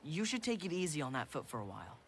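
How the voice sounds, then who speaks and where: A performer voicing a sensible, firm but caring teenage older brother in an animated cartoon. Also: mid-range voice, quick pace, slight background noise.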